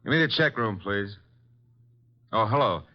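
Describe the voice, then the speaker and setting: An actor playing a brisk, wisecracking American detective's assistant in an old-time radio drama. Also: male voice, fairly low pitch, lively intonation, slight background noise. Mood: businesslike. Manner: breezy, hard-boiled, questioning. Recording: dull, lo-fi old radio recording.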